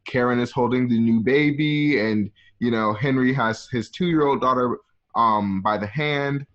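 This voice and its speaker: A man's voice: medium pitch, a little slow, a bit bright. A man narrating an amateur radio play, reading stage directions in a loose, conversational, ad-libbing way. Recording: dull lo-fi home connection.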